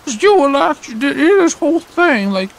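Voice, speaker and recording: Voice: high voice, big pitch swings, fairly quick, gravelly, full character voice. A performer voicing a chatty, apologetic, eager young woman who rambles and corrects herself in a comedic amateur redub. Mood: rambling. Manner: rambling, chirpy, self-correcting.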